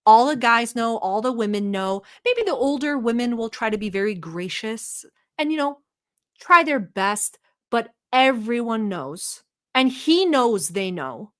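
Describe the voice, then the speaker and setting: A woman's voice: a little high, lively intonation, unhurried, fairly bright tone. A woman from Turkey hosting a live stream, giving blunt, mocking and profane commentary while talking to her chat. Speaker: livestream host reacting to a video.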